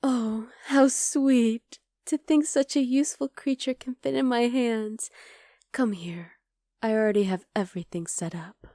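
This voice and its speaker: Woman playing a soft-spoken scheming witch. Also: on the high side, a little slow, no room sound, clean studio sound. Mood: delighted. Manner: soft, intimate, coolly calculating, often half-whispered. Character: scheming, possessive adult female witch. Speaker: voice actor. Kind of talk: ASMR roleplay.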